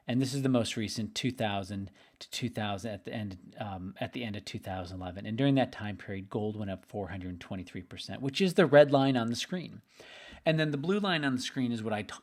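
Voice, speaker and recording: A middle-aged man with a slightly hoarse, tired voice, hosting a live webinar in an upbeat, chatty way and talking straight to his listeners. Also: fairly low pitch. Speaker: investment trainer hosting a webinar.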